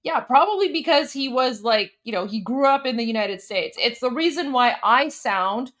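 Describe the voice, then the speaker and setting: A woman's voice: a little high, expressive, moderate pace, fairly bright tone. Chatty Canadian woman, filler-heavy rambling. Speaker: livestream host. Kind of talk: casual livestream chat.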